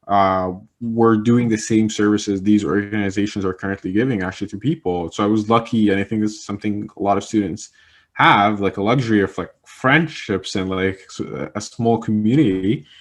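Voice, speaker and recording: Young Egyptian man, low voice, rambling. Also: steady tone, normal speed. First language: Arabic. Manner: rambling, many fillers and restarts. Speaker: interviewee, communication specialist. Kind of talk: casual interview answers.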